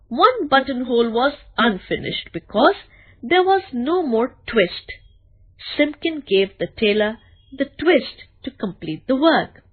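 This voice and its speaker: Slow, lively woman storyteller, slightly muffled. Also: a little high, slightly rough. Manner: deliberate, expressive storytelling. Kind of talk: scripted children's bedtime story narration.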